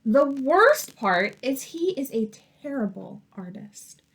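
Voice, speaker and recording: A long-suffering crayon in a picture book, played by a woman. It is aggrieved and desperate. The pitch is high. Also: sing-song, slow pace, a bit bright, full character voice.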